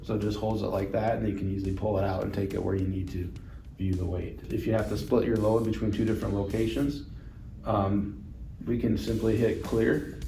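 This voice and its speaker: A man's voice: on the low side, mellow. A man giving an instructional product demonstration, explaining things plainly and step by step. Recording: roomy echo, distant mic, music underneath.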